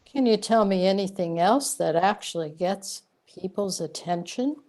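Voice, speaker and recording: A mellow, soft-edged female voice of average pitch from an actress, playing a cosmic guide in a sci-fi audio comedy. She explains the afterlife's trickery in a matter-of-fact way. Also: measured pace, natural voice.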